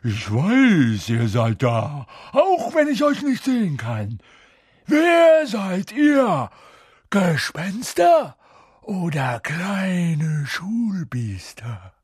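A nasty little storybook poltergeist with a shrill, strained voice. The pitch sits very high and leaps about wildly. Words are stretched and exaggerated, mischievous and oily. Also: male voice, huge pitch swings, darkish tone, slightly rough, no room sound, clean studio sound, exaggerated voice. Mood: taunting, menacing. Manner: exaggerated, swooping, drawn-out words. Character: mean, mischievous male poltergeist, taunting then slimy and servile.

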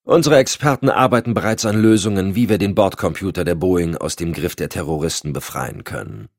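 A man's voice: deep voice, expressive, fairly quick, no room sound, clean studio sound. A man voicing a curt, sardonic, commanding agency chief with clipped formal speech in an audiobook. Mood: cool, controlled. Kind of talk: audiobook dialogue.

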